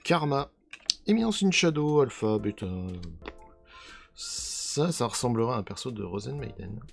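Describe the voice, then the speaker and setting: A man with a hoarse voice casually commenting as he unboxes trading cards, often trailing off while he tries to recall names. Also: mid-range voice, expressive, moderate pace, soft background music. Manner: musing, trails off hunting for names. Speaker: card unboxing video host.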